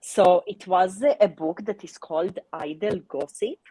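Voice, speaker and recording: A woman's voice: on the low side, unhurried, warm tone, husky, no echo, poor audio. A woman chatting casually on a group livestream in an effusive, enthusiastic way. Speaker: livestream participant. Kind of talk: casual chat.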